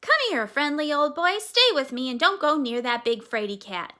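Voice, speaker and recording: A woman's voice: high-pitched, animated, moderate pace, pitched above the performer's own voice. A woman voicing an eager, cheerful young boy in a read-aloud storybook. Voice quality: bright and eager.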